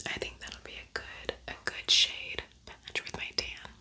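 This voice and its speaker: A woman hosting an ASMR makeup tutorial, whispering softly in a casual, chatty, unhurried way.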